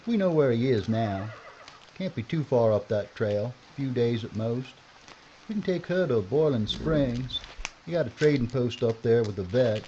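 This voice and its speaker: A man playing a gruff frontier marshal. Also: mid-range voice, lively intonation, normal speed, warm tone, slight background noise, close to an everyday voice. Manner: gruff, sardonic. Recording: dull lo-fi audio.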